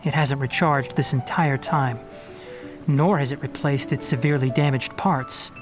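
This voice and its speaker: A man playing a calm, sharp young forest ranger scholar in a video game, explaining things in an articulate, matter-of-fact way. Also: mid-range voice, moderate pace, natural voice. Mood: somber, gentle. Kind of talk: scripted video game character dialogue. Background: background music.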